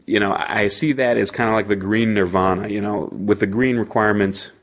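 A man's voice: lowish voice, fairly even intonation, fairly quick. A man hosting a solo podcast from a hotel room, with a stuffy head cold and a rambling delivery full of fillers and restarts. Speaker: podcast host. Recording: slightly echoey hotel room.

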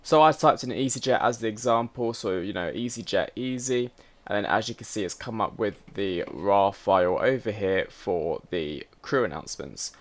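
A man's voice: on the low side, animated, normal speed. Man narrating a casual tutorial. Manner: chatty, instructional, addresses viewers directly. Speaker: host of a flight simulator tutorial channel.

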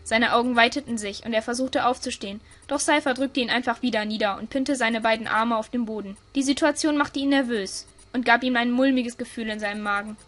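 A woman's voice: fairly high pitch, a little fast, few pauses, a bit bright. A woman reading scripted story narration for an audio drama.

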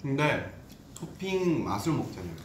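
A man's voice: medium pitch, sing-song, normal speed, background noise. A young man chatting on a livestream with bandmates, teasing and laughing easily as he complains. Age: young adult. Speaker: idol group member on livestream. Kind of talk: casual livestream chat. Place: small indoor room at a table. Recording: roomy room echo.

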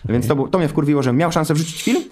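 Fast-talking man, emphatic and defensive. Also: on the high side, expressive, a little mellow, slight background noise. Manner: emphatic, defensive, swears often. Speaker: guest on a stream. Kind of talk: heated interview answers. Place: studio with wooden wall. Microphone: desk microphone.

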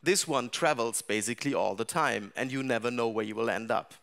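A middle-aged man giving a talk about game design to a seated audience in a dark seminar room, thinking aloud with frequent restarts and fillers. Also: mid-range voice, brisk, gravelly.

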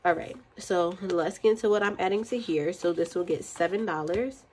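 A woman's voice: on the low side, moderate pace, a little mellow, slight background noise. Stuffy-voiced young woman, chatty vlogger. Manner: chatty, rambling, counts aloud. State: stuffy from a cold. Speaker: budgeting channel host. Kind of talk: casual chat while counting cash. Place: indoors at a desk.

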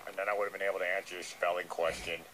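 A man's voice: deep voice, level tone, rapid-fire, bright, forceful tone, pitched below the performer's own voice. A man voicing a nervous, earnest, dim-witted llama who hesitates and repeats himself on a comic radio call. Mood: sheepish. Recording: noisy re-recording of radio playback.

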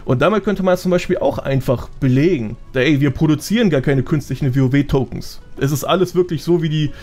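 A man's voice: a little high, a little fast, a little mellow. A man with a colloquial, slangy way of explaining things, chatting casually as a guest on a gaming podcast. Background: background music.

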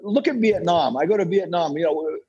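A man's voice: fairly high pitch, fairly quick, warm tone, slightly rough, lo-fi sound. An American man giving opinionated interview answers as a guest, insistent and emphatic, repeating phrases for effect. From United States. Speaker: podcast guest, entrepreneur and author.

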